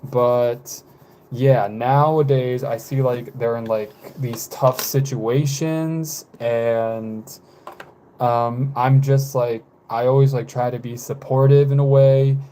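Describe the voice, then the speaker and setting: Man, mid-twenties, live streamer, unhurried and rambling, lots of fillers and little laughs. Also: mid-range voice, fairly even intonation, slight background noise. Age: 20s. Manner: rambling, hesitant, many fillers and self-corrections; frequent soft laughs. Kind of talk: casual chat with live viewers.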